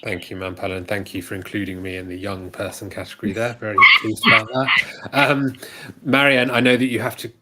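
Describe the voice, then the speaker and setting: A man's voice: on the low side, level tone, a little fast, heavy background noise. A man moderating an online panel, asking polite, hesitant questions with fillers.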